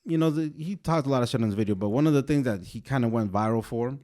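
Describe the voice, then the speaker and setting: Laid-back male podcast co-host, a bit hoarse. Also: medium pitch, quick pace, warm tone. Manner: laid-back, rambling, drops casual swearing. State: slightly hoarse from a sore throat. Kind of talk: casual chat.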